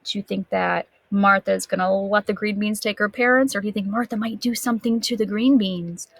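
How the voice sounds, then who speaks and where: A woman's voice: mid-range voice, creaky, no room sound. A woman teacher reading a picture book aloud to young children expressively, stopping to put questions to her listeners. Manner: expressive, dramatic gasps and emphatic drawn-out words.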